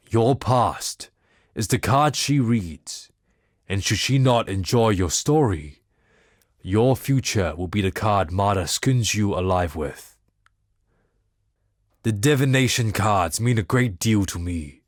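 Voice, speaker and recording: Slow, deep-voiced sardonic mystic. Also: male voice, no echo, studio quality. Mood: solemn, cryptic. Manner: measured, wry, theatrical, trailing into hushed tones. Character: sardonic, solemn male mystic who speaks in riddles. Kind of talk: scripted game character dialogue.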